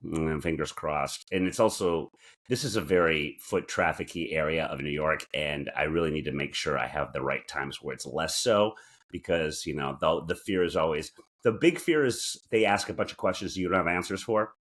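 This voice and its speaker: A man's voice: extremely low pitch, fairly bright tone. A man co-hosting a casual talk show, rambling and often breaking off mid-thought to start again. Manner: halting, rambling, self-interrupting. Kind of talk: casual chat.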